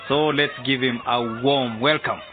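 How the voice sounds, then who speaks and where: A man's voice: mid-range voice, fairly even intonation, unhurried, lightly acted. A man playing a hearty boys' football coach in a radio drama, encouraging and instructing his group. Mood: hearty, instructive. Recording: noisy, tinny phone-like radio drama recording.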